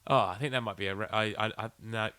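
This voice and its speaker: A man's voice: lowish voice, fast talker, pushed and bright, smooth, no echo. A British man co-hosting a podcast in casual comedic chat, swearing and joking in a mocking way. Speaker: podcast co-host.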